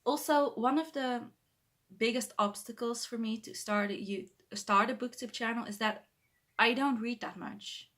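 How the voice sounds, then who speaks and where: A woman's voice: on the high side, fairly even intonation, unhurried, a bit bright. Young Dutch woman, English second language, shy self-correcting vlogger talking alone to camera at home. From Netherlands. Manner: hesitant, self-correcting, laughs shyly. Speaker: booktuber. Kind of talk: casual talk to camera. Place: bedroom.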